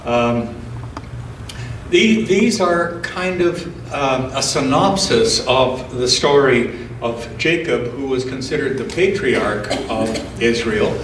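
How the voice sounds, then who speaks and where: Slow-speaking man reading in a roomy church. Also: medium pitch, animated, fairly bright tone. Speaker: scripture reader at a church service. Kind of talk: church scripture reading with informal introduction. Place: indoors in a church. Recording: roomy church sound, distant mic, faint noise.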